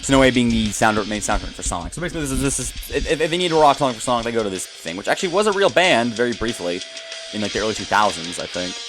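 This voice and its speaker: Guy doing casual game commentary, average pitch voice, rambly fan tangents, music going behind him. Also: expressive, fast. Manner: enthusiastic fan asides, trailing off and rephrasing. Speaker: gamer commentating a playthrough. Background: video game rock music.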